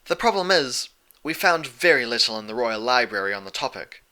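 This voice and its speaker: A man voicing a regal, patient female pony princess, explaining things in a measured way in a story. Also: medium pitch, sing-song, pushed and bright, clean tone.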